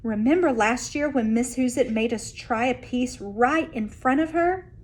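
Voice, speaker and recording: Lady doing a dramatic little boy from a picture book, high voice, drags out his reactions. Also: unhurried. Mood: alarmed. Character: young boy George, dramatic fruitcake-dreading storybook friend. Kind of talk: children's storybook character voice.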